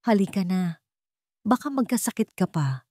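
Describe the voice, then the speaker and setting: A woman's voice: medium pitch, sing-song, normal speed, warm tone, no echo, studio quality, pitched above the performer's own voice. A woman with a Filipino accent playing a vain, jealous older sister in an audiobook, falsely sweet and coldly controlled. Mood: possessively sweet. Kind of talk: audiobook villain monologue.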